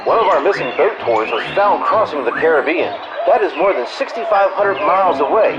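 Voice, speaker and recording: A man's voice: on the high side, lively intonation, fairly quick, close to an everyday voice. A man playing a wisecracking jungle cruise radio host, reading mock-official comedy bulletins in old-time announcer style with dry delivery. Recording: muffled lo-fi radio sound over music.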